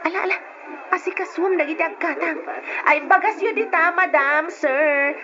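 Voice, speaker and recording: An actress playing a feisty, brisk market rice vendor in an old radio drama, with an Ilocano accent. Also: female voice, very high voice, crowd noise. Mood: feisty, hawking. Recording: muffled, noisy old radio drama recording.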